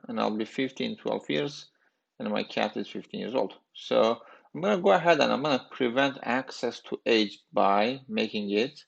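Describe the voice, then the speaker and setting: A man in his thirties giving a screencast programming lesson, halting and thinking aloud with fillers as he types. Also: fairly low pitch, vocal fry, muffled recording. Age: 30s. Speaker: programming instructor.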